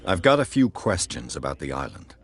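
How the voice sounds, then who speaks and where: A man's voice: rumbling low, big pitch swings, fairly quick, slightly rough, heavily acted. A man voicing a dry, blunt, sardonic male detective. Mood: wry.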